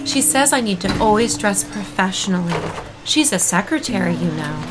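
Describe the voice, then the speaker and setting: A woman's voice: mid-range voice, animated, normal speed, close to an everyday voice, rough recording. A woman playing a polite, eager young working woman in a period audio drama, sweet and proper. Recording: music under the voice. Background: music.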